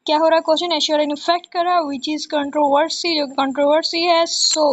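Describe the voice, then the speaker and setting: A woman's voice: high-pitched, little pitch movement, dry recording. A woman with a Pakistani accent lecturing as a law teacher, reading out notes and explaining them repetitively, often asking and answering her own questions. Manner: switches between Urdu explanation and slowly read English. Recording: dull, lo-fi close recording.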